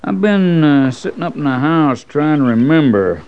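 A man's voice: on the high side, sing-song, normal speed, a little mellow, clean tone. A man playing a sly, grasping frontier settler who talks in a hesitant, wheedling rustic way in an old radio western. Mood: sly, hinting. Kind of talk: 1940s-50s radio western acting. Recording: dull old radio recording.

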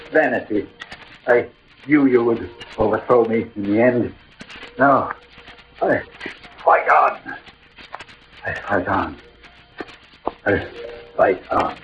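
Proud dying swordsman-poet, sweeping pitch swings, grandiloquent 1940s radio drama. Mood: defiant, weary. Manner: declamatory, grandiloquent, dramatic pauses. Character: dying proud, defiant, poetic swordsman hero. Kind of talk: 1940s theatrical radio verse acting. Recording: muffled vintage radio recording, music behind.

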